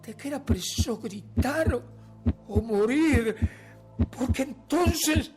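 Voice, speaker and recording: A Uruguayan actor playing a paranoid, guilt-ridden murderer in a theatrical radio horror monologue, with intense, halting delivery. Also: male voice, high voice, animated, vocal fry, music in the background, exaggerated voice. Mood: desperate, screaming confession. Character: guilt-maddened murderer confessing, paranoid, increasingly frantic man. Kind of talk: theatrical dramatic reading of a horror story.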